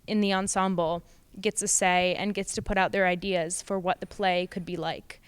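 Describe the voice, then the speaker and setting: A young woman speaks as a student actor being interviewed. Her voice is clear and smooth at a medium pitch, explanatory and informal, recorded dry. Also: little pitch movement, moderate pace, dry recording. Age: young adult.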